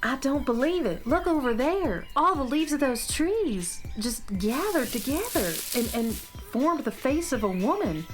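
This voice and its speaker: Space cowgirl, acted by a woman: excitable, folksy, plain-spoken, swooping delivery over light music, children's audio drama. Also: high voice, unhurried, few pauses. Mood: astonished. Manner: folksy cowgirl phrasing, emphatic.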